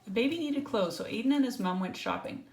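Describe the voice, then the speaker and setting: A woman's voice: fairly high pitch, animated, fast talker, vocal fry. A woman reading a picture book aloud to a child, breaking off for casual explanatory asides and checking in with small questions. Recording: roomy echo, faint background noise.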